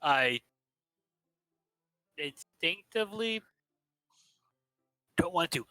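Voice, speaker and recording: Man narrator, bright animated read, dry close mic. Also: on the high side, very slow, dry recording, rough recording. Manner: lively, playful narration with asides. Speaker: narrator reading the game text. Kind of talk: impromptu staged reading.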